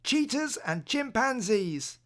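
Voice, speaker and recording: Storybook older brother, played by a woman: young boy, unhurried, high-voiced, reasonable, gently coaxing. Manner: calm, coaxing, explaining. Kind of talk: children's storybook read aloud.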